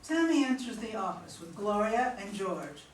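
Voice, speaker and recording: A woman's voice: mid-range voice, big pitch swings, normal speed. Woman reading stage directions, echoey and noisy. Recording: echoey room, far mic, clear background noise.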